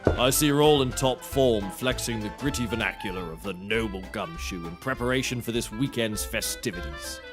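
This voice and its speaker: An actor playing a sensible, articulate private eye with a dry, teasing, wordy way of talking. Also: male voice, lowish voice, moderate pace, over music, lightly acted. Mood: wry. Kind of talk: comedic mock-noir dialogue.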